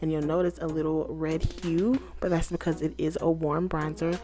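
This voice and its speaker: Woman, beauty vlogger, slightly low voice, upbeat and chatty tutorial delivery. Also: level tone, normal speed, mellow. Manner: chatty, upbeat, talks straight to viewers. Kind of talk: casual makeup tutorial.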